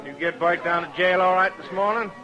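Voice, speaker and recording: An unfriendly American drifter played by a man, hard and terse with folksy phrasing. His voice is pitched fairly high. It is old western radio drama, with music clearly behind. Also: a little fast, lo-fi sound, lightly acted. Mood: smooth, probing.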